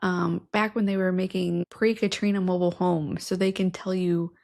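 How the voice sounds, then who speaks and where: A woman's voice: lowish voice, level tone, moderate pace, vocal fry, darkish tone. A woman in her thirties co-hosting a casual podcast, earnest and enthusiastic, talking into a studio mic in an indoor room. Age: 30s. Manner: earnest, enthusiastic, self-described nerd. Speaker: podcast co-host. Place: indoor room with patterned wallpaper. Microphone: studio mic on stand, wearing headphones.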